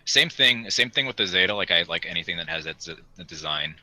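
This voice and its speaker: A man's voice: sits low, expressive, fast, pushed and bright, dry recording. A young man chatting casually and in a rambling way as a podcast host. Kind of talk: casual chat.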